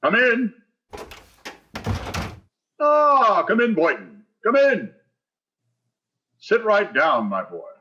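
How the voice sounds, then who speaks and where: An actor playing a pompous, blustery, grasping older school principal in a vintage radio sitcom style. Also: male voice, sits high, huge pitch swings, drawn-out words, long pauses, highs cut off, full character voice. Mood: jovial, self-important.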